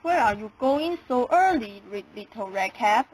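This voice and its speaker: A woman's voice: a little high, lively intonation, moderate pace, a little hiss or hum, natural voice, rough recording. A woman voicing a sly, hungry male wolf with a coaxing, scheming manner in a storybook radio play.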